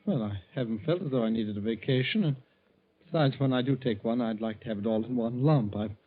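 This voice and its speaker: An actor playing a meek, middle-aged bank teller with secret schemes, earnest and hesitant in a 1940s radio style. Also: male voice, medium pitch, brisk, close to an everyday voice. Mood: meek, deferential. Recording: thin, tinny 1940s radio recording.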